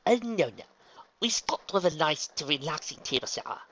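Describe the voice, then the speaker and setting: A man's voice: fairly high pitch, animated, moderate pace, fairly bright tone, muffled recording, voice pushed up. A man voicing a refined, bossy, theatrically gracious lady pony who coaxes with genteel endearments. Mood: cheerful coaxing. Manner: gushing, genteel, uses endearments.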